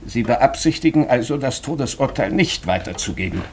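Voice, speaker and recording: A man's voice: medium pitch, expressive, natural voice. Actor as a stern, fatherly doctor, faintly noisy recording. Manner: deliberate, probing, authoritative. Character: stern, candid, fatherly doctor counselling a young officer. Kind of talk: German radio drama acting.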